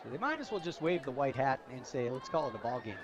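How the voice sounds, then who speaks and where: A man's voice: medium pitch, sing-song, fast talker, muffled recording. A man giving conversational live football commentary as a radio color commentator. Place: outdoor high school football stadium. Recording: outdoor broadcast, clear crowd noise. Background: stadium crowd noise.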